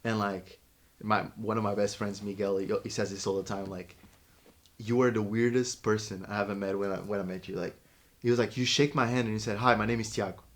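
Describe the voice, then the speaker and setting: A young man from Portugal chats casually on a podcast, telling stories at an easy pace. His voice sits low, and he leans on fillers as he goes. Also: a little fast. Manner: relaxed storytelling with lots of fillers. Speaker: podcast co-host. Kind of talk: casual chat.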